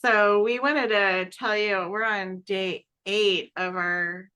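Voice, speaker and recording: A woman's voice: mid-range voice, steady tone, slow, pushed and bright, clear, resonant tone. A woman co-hosting a casual podcast, telling stories in a chatty way with frequent giggles. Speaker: podcast co-host.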